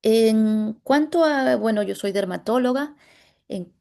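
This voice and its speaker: A Latin American woman with a gravelly, dark and mellow voice walks through a medical case as a dermatologist. She speaks haltingly, often pausing to find the right word, over a video call. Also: on the high side. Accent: Latin American Spanish. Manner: halting, pauses to search for words. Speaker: dermatologist presenting a clinical case.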